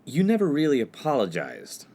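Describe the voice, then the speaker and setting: A man's voice: mid-range voice, huge pitch swings, moderate pace, warm tone. A man playing an earnest, exasperated young college freshman in a comedic radio play, indignant and sarcastic. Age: young adult. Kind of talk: comedic 1950s-set radio play acting. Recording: faint background noise.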